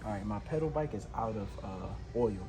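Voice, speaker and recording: A man's voice: fairly low pitch, lively intonation, brisk, music in the background. A man chatting casually and joking with slang and swearing, as a prank vlogger making mock announcements. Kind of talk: casual prank vlog chat with mock announcements into a walkie-talkie. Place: inside a large retail store.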